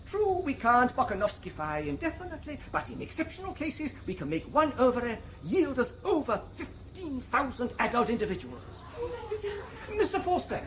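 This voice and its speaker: A man's voice: very high voice, very animated, moderate pace, a bit bright, heavily acted, poor audio. An actor playing a pompous male hatchery director who lectures a group of students with patronizing enthusiasm. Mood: lecturing. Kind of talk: 1990s radio drama acting.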